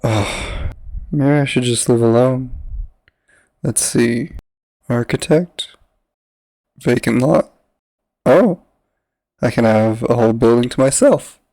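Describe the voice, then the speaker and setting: A man voicing a timid, earnest young male pigeon in an animated short, with a hesitant, mild delivery. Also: mid-range voice, takes it slowly, pauses often, no echo. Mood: wistful.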